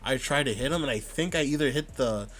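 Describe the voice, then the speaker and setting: A man calmly breaking down his own match footage, thinking aloud and stopping to rewind and check his plays. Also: mid-range voice, brisk. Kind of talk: casual vod review commentary.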